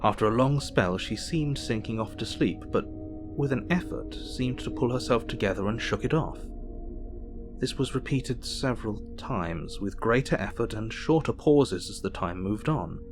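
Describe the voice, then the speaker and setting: An actor playing an earnest Victorian doctor, narrating his diary in an audio drama with a measured, formal delivery. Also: mid-range voice, expressive, music in the background, close to an everyday voice. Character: earnest Victorian doctor keeping a night vigil.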